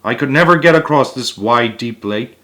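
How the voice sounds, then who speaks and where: A man's voice: medium pitch, animated, normal speed, pushed and bright. A man reading an earnest young boy. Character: determined young boy on a quest.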